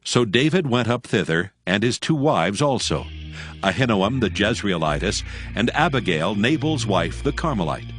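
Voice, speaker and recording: A man narrating scripture from a script in a solemn, measured storytelling manner. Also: on the low side, sing-song, fairly bright tone, no echo. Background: music.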